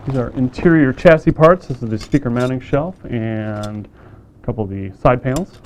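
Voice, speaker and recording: A man casually demonstrating a radio kit, plain-spoken and relaxed, with frequent fillers. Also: medium pitch, normal speed, slight background noise. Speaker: company staff demonstrating a kit.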